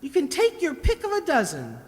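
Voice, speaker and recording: A woman playing a shrill, scolding household cook. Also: huge pitch swings, a little fast, darkish tone. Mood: brusque, scolding. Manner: bossy, mocking, scornful. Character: gruff, scolding, sarcastic household cook woman in old London. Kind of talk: amateur radio play acting. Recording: echoey hall, long reverb.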